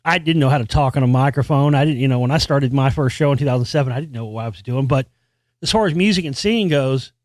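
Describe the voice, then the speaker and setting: Rambling podcast host, dry close sound. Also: male voice, fast, a little mellow, no echo. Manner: rambling, with restarts and casual swearing; experienced singer with a high singing voice. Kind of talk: casual solo monologue about music.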